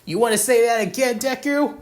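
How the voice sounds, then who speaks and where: A narrator voicing an aggressive, hot-tempered young schoolboy bully who shouts and taunts. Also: mid-range voice, animated, slow. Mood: angry.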